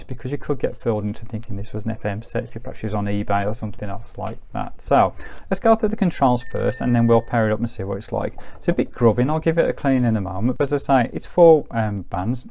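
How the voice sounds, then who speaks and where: A man's voice: medium pitch, fast talker, creaky, few pauses, dark tone. A man giving a casual, unscripted product demonstration as a reviewer, explaining things informally with loose, hedging phrases.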